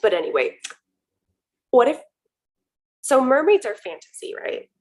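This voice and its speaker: A friendly woman co-hosts a relaxed podcast over a video call, talking at a medium pitch. She rambles warmly and restarts her sentences often as her thoughts catch up. The talk feels like easy chat between friends. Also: unhurried. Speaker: podcast co-host. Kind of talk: casual chat. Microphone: video call recording.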